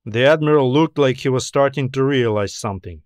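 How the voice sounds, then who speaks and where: A man's voice: mid-range voice, fairly quick, no room sound. A man narrating a scripted science fiction story as an audiobook reader.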